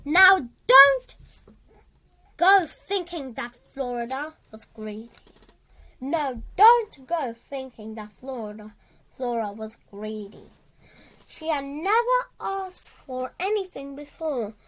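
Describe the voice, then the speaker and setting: High-pitched child reading a story aloud, slowly. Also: very animated, pushed and bright, low-quality recording. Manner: halting, stumbles and repeats words while reading.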